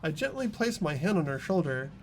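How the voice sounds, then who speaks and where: A man's voice: a little high, big pitch swings, brisk, soft background music. A man voicing an anxious, earnest schoolboy hero in an amateur game dub, narrating in the first person. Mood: tense narration. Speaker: narrator and player of a visual novel playthrough.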